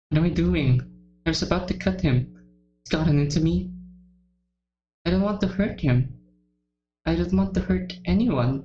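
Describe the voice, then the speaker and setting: A woman's voice: fairly low pitch, a little mellow, dull audio. A woman voicing an obsessive, unstable lovesick teenage girl from a horror game in a dramatic reading, swinging between sweet and menacing. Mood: frantic, conflicted. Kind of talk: dramatic fan-fiction reading. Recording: roomy echo with faint music behind.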